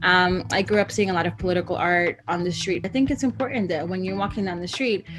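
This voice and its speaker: A woman from El Salvador speaks about her work as a street artist. Her voice is low and earnest, broken up with ums. Guitar music plays clearly beneath her. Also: fast talker. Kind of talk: interview talk.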